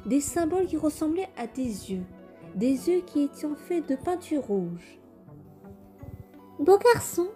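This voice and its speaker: A woman's voice: high voice, mellow. A woman voicing an earnest young boy presenting a puppet show in a French comic dub, with storybook-like delivery.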